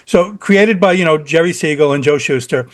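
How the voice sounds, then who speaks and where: A man's voice: on the high side, normal speed, dry recording. A man from New York hosting an interview, asking questions eagerly and laughing along often. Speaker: interviewer host. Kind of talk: casual interview.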